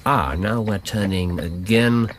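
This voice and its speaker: An actor playing a self-assured English gentleman detective in a radio drama, precise, dry and coolly observant. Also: fairly low pitch, takes it slowly. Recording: noisy radio drama background.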